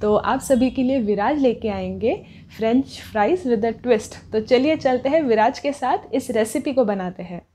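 A woman's voice: a little high, normal speed, mellow, a little hiss or hum. A woman in her thirties hosting a home cooking show, chatting casually and asking guiding questions. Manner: encouraging, asks guiding questions. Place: home kitchen, indoors.

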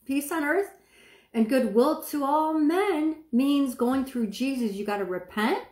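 A middle-aged woman with a soft speaking voice, preaching casually to a phone camera and checking that listeners follow her. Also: high voice, measured pace, roomy sound. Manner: chatty, asks the viewer questions, stretches words for emphasis. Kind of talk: informal sermon to camera. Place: small room indoors, seated.

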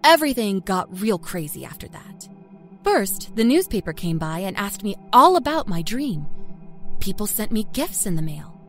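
A woman playing a self-satisfied small-town liar from Kentucky, telling her dark story in a folksy, confiding way. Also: medium pitch, sing-song, normal speed. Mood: smug, chatty. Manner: folksy, chatty, smugly confiding. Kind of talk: first-person dark storytelling. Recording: music under the narration.

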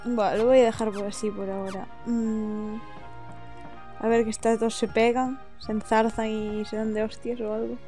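A woman's voice: on the high side, flat delivery, normal speed, mellow. A young woman with an accent from Spain, doing casual, self-deprecating gameplay commentary with informal swearing. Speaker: gaming video host. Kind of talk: casual gameplay commentary, reading game dialogue aloud. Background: game music.

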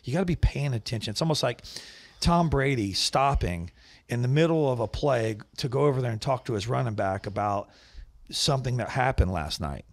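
Gravelly middle-aged man, emphatic podcast host. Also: medium pitch, brisk, no room sound. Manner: animated, gets loud and emphatic when making a point. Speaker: podcast host, business owner. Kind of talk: casual podcast chat. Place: studio set with wooden walls and shelves. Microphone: boom mic with headphones.

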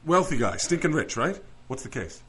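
Flustered lawyer, pitch swinging widely. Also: male voice, normal speed. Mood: hesitant, puzzled. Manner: stammering, exasperated, excitable. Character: flustered, incredulous male lawyer, out of his depth. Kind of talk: audio drama acting.

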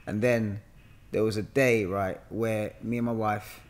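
A man in his thirties with a London accent, chatting and telling stories in an animated, bantering way full of slang. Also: lowish voice, measured pace. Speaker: podcast guest, actor. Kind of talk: casual conversational storytelling. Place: bright living room, on a sofa. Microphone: podcast mic on a boom arm.

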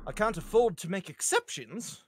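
A man's voice: high voice, expressive, normal speed, a little hiss or hum. A man playing a masked pirate, coldly courteous and sardonic, in a live script read. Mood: cynical. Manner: sardonic, theatrical. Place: indoors, on a group video call.

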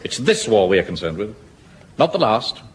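Casting: pompous, fussy captain, animated pitch, faint tape hiss. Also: male voice, moderate pace. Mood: indignant. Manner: pompous, indignant. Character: pompous middle-aged captain, fussy and indignant. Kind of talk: 1970s radio sitcom acting. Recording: old broadcast with faint hiss.

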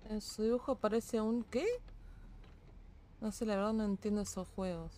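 A woman's voice: medium pitch, fairly even intonation, normal speed, mellow, slight background noise. A woman with an Argentine Spanish accent who streams games, chatting casually in a blunt, slangy, foul-mouthed way. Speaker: game streamer. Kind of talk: casual chat while gaming.

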